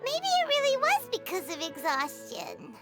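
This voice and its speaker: A woman voicing a small, excitable childlike fairy companion in a video game, squeaky and earnest, talking in the third person. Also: normal speed, exaggerated voice. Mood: puzzled, musing. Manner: speaks of self in third person. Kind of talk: video game character dialogue. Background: background music.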